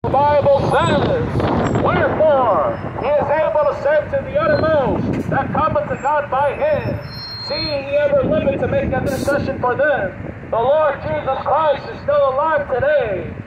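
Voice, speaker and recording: A man with a very high voice preaches urgently through a megaphone at the roadside, pleading with passers-by. The sound is dull and muffled, and loud traffic roars behind him the whole time. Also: measured pace. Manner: urgent, pleading, quoting scripture. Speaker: street preacher. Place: roadside by a busy highway outdoors. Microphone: handheld megaphone, camera a few meters off. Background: loud passing traffic.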